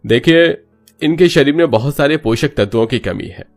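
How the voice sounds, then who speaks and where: A man's voice: medium pitch, animated, moderate pace, faint music behind, pitched above the performer's own voice, natural voice. A man voicing a serious, concerned doctor in a storybook tale, formal and explanatory. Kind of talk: storybook character acting.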